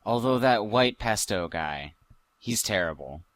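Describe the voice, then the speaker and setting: A man's voice: lowish voice, animated, measured pace, a bit bright, no echo. A man co-hosting a casual podcast, teasing the others with jokey banter. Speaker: podcast co-host.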